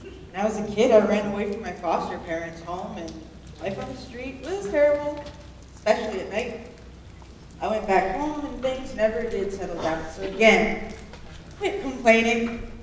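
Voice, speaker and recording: A woman's voice: mid-range voice, normal speed, natural voice. A woman playing a hardened, blunt prison inmate in a staged reading of a musical. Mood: curt, irritated. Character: hardened, curt, bookish former runaway woman prisoner. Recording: very echoey room, far mic, faint noise.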